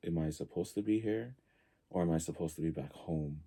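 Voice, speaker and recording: A man in his early forties from Tonga, talking casually and reflectively as a podcast guest, halting as he thinks aloud. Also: deep voice, warm tone, breathy edge. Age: early 40s.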